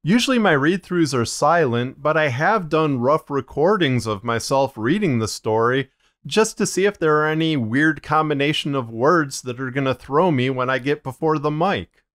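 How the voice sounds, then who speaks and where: Man with a slightly muddied voice, explaining deliberately. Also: a little high, lively intonation, fairly bright tone. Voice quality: slightly muddied, limited range. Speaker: fiction podcast narrator and video host. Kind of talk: measured explanatory video narration.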